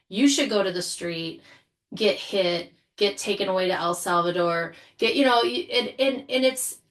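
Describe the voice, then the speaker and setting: Low-voiced woman on a discussion panel. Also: fairly bright tone. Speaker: podcast panel guest. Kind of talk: casual, reflective panel discussion.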